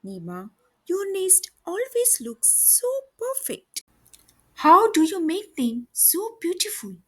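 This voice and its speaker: A woman's voice: extremely high pitch, sing-song, takes it slowly, full character voice. A woman voicing a curious male sparrow in a children's storybook, with a chirpy, questioning manner. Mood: admiring, curious.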